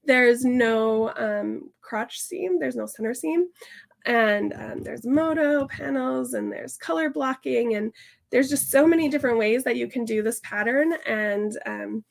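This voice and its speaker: A chatty woman walks viewers through a sewing project. Her voice is hoarse and stuffy from illness, with a slightly high pitch and a gravelly rasp. She talks upbeat and loose, full of fillers. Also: unhurried. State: hoarse and stuffy from a sinus infection. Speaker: sewing tutorial host. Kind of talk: casual sew-along tutorial chat.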